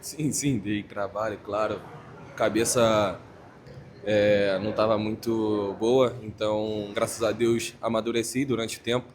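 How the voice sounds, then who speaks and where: A young man in his late teens, a footballer answering post-match questions in short, halting phrases. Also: lowish voice, level tone.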